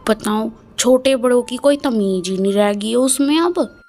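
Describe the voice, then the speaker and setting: A quarrelsome middle-aged mother-in-law from a storybook tale, complaining in a raised, indignant voice, as a woman acts her out. She talks slowly, and soft music plays behind. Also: on the high side.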